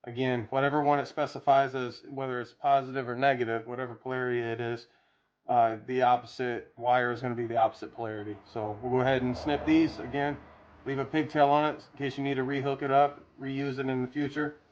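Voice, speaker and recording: A man's voice: medium pitch, flat delivery, fairly quick, muffled recording, a little hiss or hum. A man hosting a DIY video, talking casually and plainly through each step as he works. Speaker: host of DIY car audio videos. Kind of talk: casual hands-on tutorial narration.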